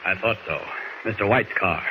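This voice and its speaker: Man acting a deep confident hero, noisy recording. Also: normal speed, lightly acted. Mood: determined. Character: confident, brisk male hero, commanding and impatient. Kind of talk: 1940s radio acting. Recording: noisy 1940s radio recording.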